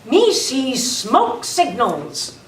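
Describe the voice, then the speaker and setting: A woman playing an eager young Navajo boy in an amateur radio western, talking in excitable, childlike broken English. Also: high-pitched, very slow, a little hiss or hum, heavily acted. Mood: excited. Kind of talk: amateur old-time radio western acting. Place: club meeting room, far camera. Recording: echoey room, distant camera mic.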